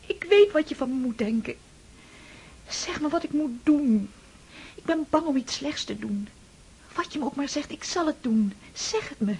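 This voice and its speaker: An actress playing an emotional young woman in a radio play, pleading and dropping into soft whispers. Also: high voice, expressive, normal speed.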